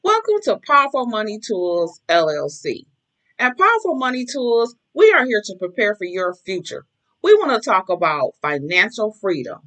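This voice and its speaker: A woman's voice: mid-range voice, fairly bright tone. A woman business owner delivering a scripted promotional message for her financial services.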